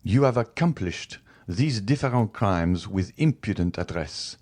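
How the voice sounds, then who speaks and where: A man's voice: low voice, dark tone. A man reading an audiobook, voicing a stern magistrate husband who accuses his wife with cold contempt and climbing fury. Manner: accusing, rising to furious outbursts.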